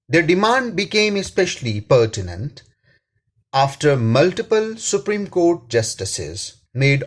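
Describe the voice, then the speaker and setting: A man with a Pakistani accent reads a scripted editorial aloud. His delivery is slow and deliberate, with a husky voice and a formal manner. The pitch moves in an animated way across phrases. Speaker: reader, attorney and law professor.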